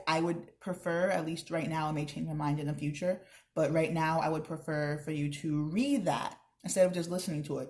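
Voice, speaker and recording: A woman with a slightly low-pitched voice speaks as a teacher to young students. She explains in a direct, instructional way, as part of reading a book aloud. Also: darkish tone. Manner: explanatory, addressing children directly.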